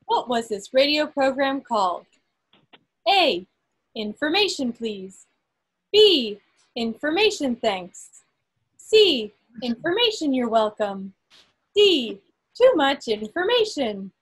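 A woman acting as quizmaster, reading quiz questions aloud to an online group in a deliberate way, pausing between phrases. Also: high voice, big pitch swings, slightly rough. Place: indoors on a group video call. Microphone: video call microphone.

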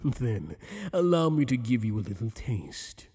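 A man's voice: medium pitch, sing-song, mellow, breathy edge, big-room sound. A man voicing a teasing, possessive monster boss. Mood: flirtatious. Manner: teasing, flirtatious, slow and intimate. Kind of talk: ASMR roleplay.

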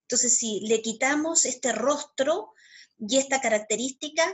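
Husky-voiced Argentine woman historian. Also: high voice. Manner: measured, frequent "¿ah?" tags. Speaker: historian guest. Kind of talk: academic explanation. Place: home room. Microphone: video call laptop mic.

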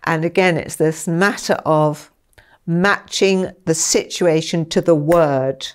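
An older woman with a slightly low voice talks through her ideas in an interview. She is animated and expressive, slipping into short acted-out exchanges. She sits in a small book-lined study with a lapel mic. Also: takes it slowly, clear, resonant tone. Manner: animated, gestures a lot, acts out dialogue. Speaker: interviewee, former school head. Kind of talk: explanatory interview talk. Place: small study with bookshelves, indoors.

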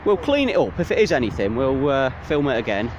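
A man's voice: mid-range voice, a little fast. Chatty English Midlands man outdoors. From England. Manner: chatty, excitable, rhetorical tag questions. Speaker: magnet fishing vlogger. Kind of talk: casual outdoor commentary. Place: riverbank outdoors. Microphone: handheld or body camera. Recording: outdoor wind and traffic noise.

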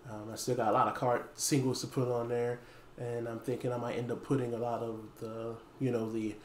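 A man in his thirties talking casually to camera as a store owner making an announcement, pausing often mid-phrase. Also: medium pitch, little pitch movement. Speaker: small game store owner and video host. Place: dim indoor room with wooden floor. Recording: echoey room, faint background noise.

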